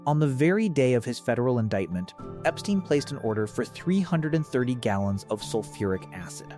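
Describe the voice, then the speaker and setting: A man's voice: medium pitch, sing-song, brisk, warm tone, faint music behind. A man reading scripted documentary-style narration in a grave, dramatic manner.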